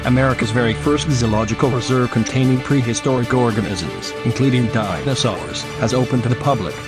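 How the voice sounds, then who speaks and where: A man's voice: medium pitch, a little fast, close to an everyday voice. Guy as tv breaking news anchor, formal, mid voice, music playing behind him. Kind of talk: scripted mock TV news.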